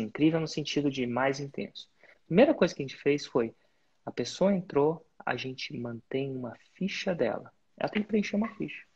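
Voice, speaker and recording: A man's voice: mid-range voice, darkish tone, husky, dull audio. A man hosting a live coaching session, giving direct, instructive advice to students. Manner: direct, instructive, uses sports-belt metaphors. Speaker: business mentor and host.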